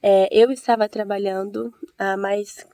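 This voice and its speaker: A hoarse woman with a Brazilian accent who has a cold, telling her story in an earnest, chatty vlog as a church-minded host. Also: mid-range voice, little pitch movement, measured pace, mellow, no room sound, clean studio sound. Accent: Brazilian Portuguese. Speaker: evangelical video host. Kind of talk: casual testimony vlog.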